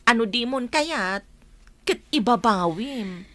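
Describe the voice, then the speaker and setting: A woman's voice: a little high, animated, slow, fairly bright tone, no room sound. An actress playing a concerned young woman advising her friend in an Ilocano radio drama, earnest and questioning. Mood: cautioning.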